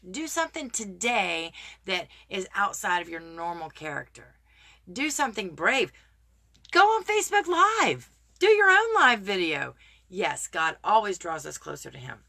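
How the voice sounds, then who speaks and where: Woman host, bright and a touch raspy; warm-hearted pep talk straight into a phone camera. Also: mid-range voice, very animated, measured pace. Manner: earnest, encouraging, greets viewers by name. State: slightly raspy voice. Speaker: motivational live streamer. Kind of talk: casual motivational talk to viewers.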